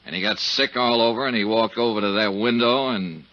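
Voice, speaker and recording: An actor playing a tough, shrewd insurance investigator with a sardonic, probing manner, in 1950s radio drama style. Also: male voice, fairly low pitch, fairly quick, lightly acted. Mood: probing, intent. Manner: sardonic, probing, hard-boiled. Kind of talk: 1950s radio drama acting and first-person narration. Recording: dull 1950s radio recording.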